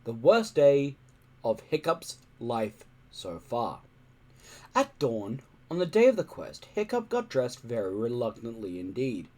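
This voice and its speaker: A man reading a children's adventure story aloud in expressive narration. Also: medium pitch, measured pace. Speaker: reader.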